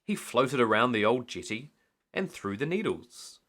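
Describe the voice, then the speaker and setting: A man reading a children's bedtime story aloud in an animated storytelling style. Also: mid-range voice, unhurried.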